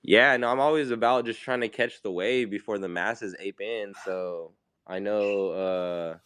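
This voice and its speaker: A man's voice: lowish voice, unhurried, fairly bright tone, clean tone. A congested man chatting casually as a guest in an online talk. He is laid-back and talks with lots of fillers. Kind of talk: casual crypto chat.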